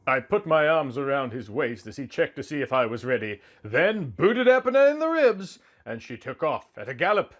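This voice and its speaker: A man's voice: medium pitch, very animated, normal speed, few pauses, a bit bright. A man voicing a lovestruck young woman who narrates her honeymoon as a swooning, melodramatic romance story. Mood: dreamy, contented. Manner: swooning, overwrought. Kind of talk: melodramatic romantic fan fiction reading.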